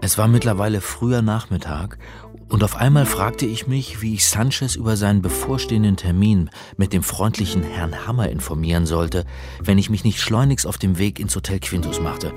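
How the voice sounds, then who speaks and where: A man's voice: fairly low pitch, normal speed, over music, natural voice. An actor playing a hapless, wry crime hero who narrates his own story in a radio play. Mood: wry narration.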